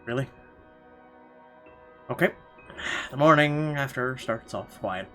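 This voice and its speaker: A man's voice: mid-range voice, slow pace, sharp tone. A man narrating a visual novel game as he plays, adding casual, sardonic commentary. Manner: wry, sardonic asides. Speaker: let's player. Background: game music.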